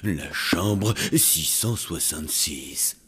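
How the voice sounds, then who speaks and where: A man voicing a gloomy cartoon hotel servant with a deadpan, unhurried manner. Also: very deep voice, breathy edge, heavily acted. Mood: deadpan, obliging. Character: slow, gloomy male servant of a zombie hotel. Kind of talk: animated series voice acting.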